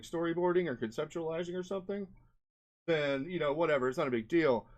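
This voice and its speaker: A man giving casual commentary as an indie game developer, rambling and thinking aloud as he goes. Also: medium pitch. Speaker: indie game developer presenting his experiments. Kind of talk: casual screen-share commentary.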